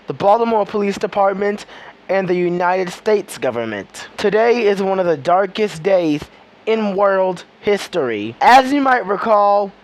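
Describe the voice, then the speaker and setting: A person reading a scripted emergency broadcast in a deliberate, formal announcing manner. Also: mid-range voice, poor audio.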